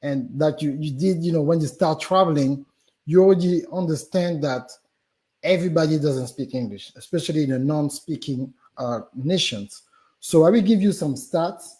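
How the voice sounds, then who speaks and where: A man's voice: on the high side, normal speed, dark tone. A man with a French accent, a business guest on a live stream interview, talking animatedly with many fillers and restarts. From Gabon.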